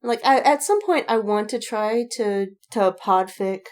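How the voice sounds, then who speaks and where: A woman's voice: on the high side, measured pace. A woman in casual podcast chat about fandom, speaking emphatically with slang and swearing and repeating words for effect. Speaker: podcast co-host.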